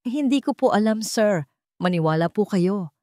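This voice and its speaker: A woman's voice: mid-range voice, expressive, normal speed, warm tone, no echo, clean studio sound, lightly acted. A woman voicing a frightened, submissive maid who pleads tearfully in an audio drama story. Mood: terrified, pleading. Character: frightened, tearful young maid begging for mercy.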